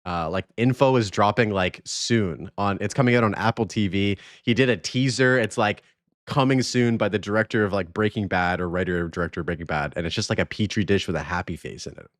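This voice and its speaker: Male guest, 30s, low voice, dry close sound, easy slangy talk. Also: fairly quick, no echo. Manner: laid-back slangy, laughs often. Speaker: podcast guest, content creator. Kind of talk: casual chat.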